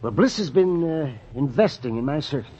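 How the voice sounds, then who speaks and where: An anxious circus owner in an old radio detective play. His voice is high and swings widely in pitch, with a husky edge. He sounds hesitant and flustered on a muffled recording. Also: moderate pace. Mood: hesitant, explaining. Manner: hesitant, fretful, excitable. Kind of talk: old-time radio detective drama acting.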